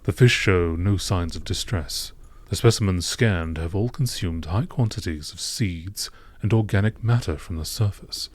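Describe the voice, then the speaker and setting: A man with a low-pitched voice delivers scripted narration in the manner of a nature documentary. His reading is measured and clinical, keeping a matter-of-fact tone throughout. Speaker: narrator reading game lore entries.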